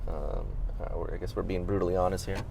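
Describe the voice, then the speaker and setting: A man's voice: deep voice, flat delivery, fast talker, gravelly, a little mellow, slight background noise. A man in his late thirties from Southern California, a trial lawyer chatting casually on a podcast, self-deprecating and rambling with frequent restarts. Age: 30s.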